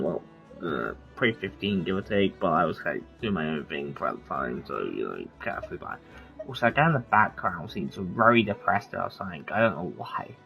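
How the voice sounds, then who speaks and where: A man's voice: lowish voice, creaky, dull audio. A man giving casual, rambling commentary over a video game, often mumbling and trailing off. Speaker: gameplay streamer.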